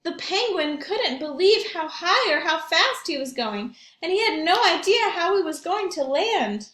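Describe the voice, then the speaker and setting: A woman teacher reading aloud and talking to young children in an expressive storytelling manner, with questions and asides. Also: extremely high pitch, measured pace, echoey room. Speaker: primary school teacher reading aloud to pupils.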